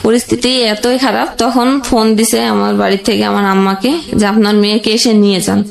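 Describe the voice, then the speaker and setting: A young woman with a Bangladeshi accent telling her own life story conversationally, in a halting, plain way with frequent rephrasing. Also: medium pitch, fairly even intonation, creaky. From Jashore region, Bangladesh. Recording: roomy echoing sound.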